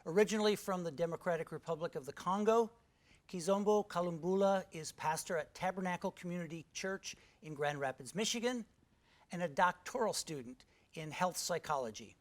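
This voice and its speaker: A man's voice: high-pitched, a little fast. A man hosting a studio interview show, giving scripted introductions and short friendly prompts to his guest. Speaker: TV show host.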